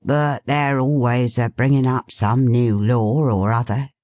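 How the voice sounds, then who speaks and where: A woman's voice: extremely low pitch, fairly even intonation, a little mellow, no echo, clean studio sound, pitched below the performer's own voice. A woman voicing a good-natured old working-class father who muses aloud in homely dialect. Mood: musing. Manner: slow, musing, homely dialect.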